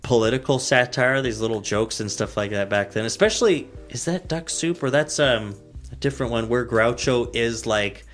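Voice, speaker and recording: A man's voice: mid-range voice, normal speed, a bit bright, faint music behind. A man co-hosting a podcast in casual comedic banter, joking and often laughing through his words. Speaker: podcast co-host.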